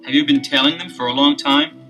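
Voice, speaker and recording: An actor playing a curious, easygoing young amateur detective in a 1970s record-album drama. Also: male voice, fairly high pitch, level tone, brisk, over music, close to an everyday voice.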